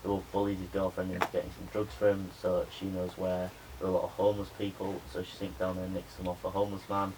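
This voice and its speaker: A young adult man, a media student giving a spoken pitch presentation to a class. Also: monotone, fast talker, few pauses, a little mellow. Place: indoor room with a small audience. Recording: noisy room recording.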